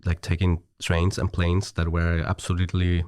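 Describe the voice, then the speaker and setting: A man's voice: deep voice, completely flat delivery, normal speed, darkish tone, no room sound, studio quality. A middle-aged man from Chile with a Chilean Spanish accent, chatting as a podcast guest, hesitant with many restarts and easy laughter. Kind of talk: casual conversation.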